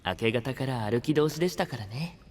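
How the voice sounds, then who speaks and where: Man acting a courteous, refined gentleman. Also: mid-range voice, lively intonation, a little fast, slight background noise, natural voice. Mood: considerate. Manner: formal, polite. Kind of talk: Japanese anime-style audio drama acting.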